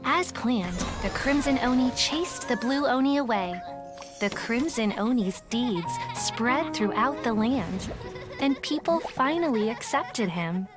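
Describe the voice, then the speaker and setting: A woman's voice: on the high side, animated, takes it slowly, music in the background. A woman voicing a shrewd, self-assured detective for hire in a video game, articulate and businesslike. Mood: storytelling. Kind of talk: English video game voice acting, explanatory dialogue.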